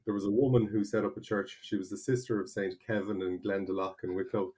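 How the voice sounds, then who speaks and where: An Irish man in his forties talks as an interview guest, low in pitch. He explains at length in an animated way, often restarting his sentences. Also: fast talker, slightly rough. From Ireland (North County Kildare). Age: 40s. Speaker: guest, translator. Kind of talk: conversational interview.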